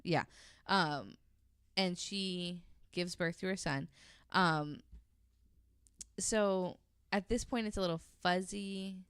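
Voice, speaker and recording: A woman's voice: fairly low pitch, slow, fairly bright tone, smooth, dry recording, clean studio sound. A woman telling a story in casual, chatty conversation, informal and given to jokey asides. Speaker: podcast guest panelist telling a story.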